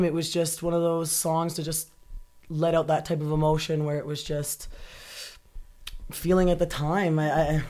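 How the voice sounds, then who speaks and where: A woman singer and songwriter in a casual interview, chatting about her band. Her speaking voice sits low and she talks upbeat and chatty, laughing easily between thoughts. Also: darkish tone.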